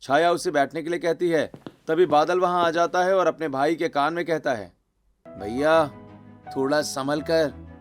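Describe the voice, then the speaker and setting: A man's voice: on the high side, steady tone, moderate pace, faint music behind. A man narrating a scripted cartoon story.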